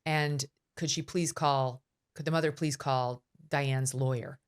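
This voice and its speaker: A middle-aged woman hosting a podcast interview, telling stories in a quick, animated way. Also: very deep voice, clear, resonant tone. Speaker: host.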